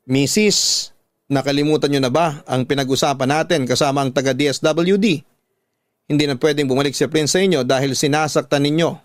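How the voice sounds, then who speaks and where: A man's voice: fairly high pitch, brisk, no room sound, natural voice. A man voicing a brave, composed woman teacher in a radio drama, speaking firmly and sternly to protect a child. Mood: calm, firm. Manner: firm, calm, stern.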